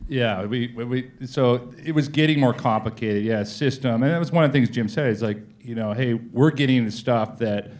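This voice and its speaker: A man's voice: medium pitch, moderate pace, hardly any pauses, a little mellow. Man, mid-range voice, executive interviewee, conversational storytelling, informal wording, animatedly re-enacts dialogue. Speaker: company executive being interviewed.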